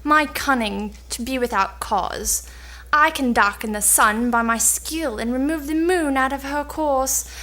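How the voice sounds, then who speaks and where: A woman's voice: high voice, a little slow, sharp tone. A woman playing a boastful, cunning old sorceress, declaiming in an amateur cold reading of an old stage play. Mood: proud, solemn.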